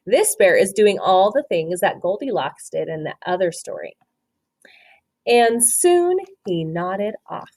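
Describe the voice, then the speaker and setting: Woman, teacher, children's read-aloud, animated intonation, expressive, questioning, explanatory. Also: medium pitch, a little slow, no echo.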